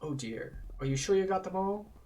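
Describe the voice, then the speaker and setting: A man's voice: high-pitched, lively intonation, normal speed, dark tone, distant mic, voice pushed up. A man voicing a polite, fretful crypt keeper, reading fantasy game dialogue in a genteel, hesitant way.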